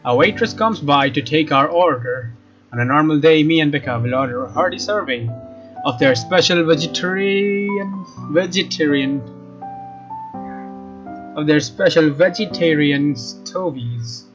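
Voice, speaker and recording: A man's voice: on the high side, unhurried. A man hosting a let's play, reading game narration aloud in a casual way and sometimes rereading phrases. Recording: slightly dull mic, game music behind. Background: game music with guitar.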